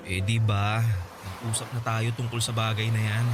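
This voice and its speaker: A man's voice: on the low side, flat delivery, normal speed, very noisy, lightly acted. A man playing a tired, easily irritated young husband and father in a Tagalog radio drama, hesitant and pleading. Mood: defensive, pleading. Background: music.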